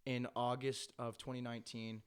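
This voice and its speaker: A man's voice: medium pitch, level tone, normal speed, clean tone. A young man from Canada, a band member, telling his band's story at length in a casual podcast chat, full of "uh" fillers. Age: young adult. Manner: rambling storyteller, lots of "uh" fillers. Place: home basement.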